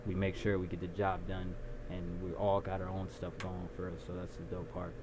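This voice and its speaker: A young man being interviewed about his video production company, talking in a relaxed, rambling way with lots of ums. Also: sits low, moderate pace. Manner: relaxed storyteller, many ums and restarts. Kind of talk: casual interview. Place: small recording studio. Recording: noisy background.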